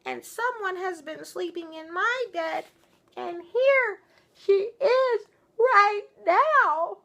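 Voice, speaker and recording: A woman's voice: slow pace, pushed and bright, full character voice. A woman voicing a wee little baby bear in a children's storybook, sad, upset and wailing plaintively. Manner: high, sad, plaintive wail.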